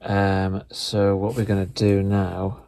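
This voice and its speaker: A man's voice: low-pitched, little pitch movement, takes it slowly, a little mellow. A man explaining things casually and tentatively in an unscripted, rambling vlog. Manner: tentative, self-deprecating, rambling. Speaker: vlogger, hobbyist trying out radio gear.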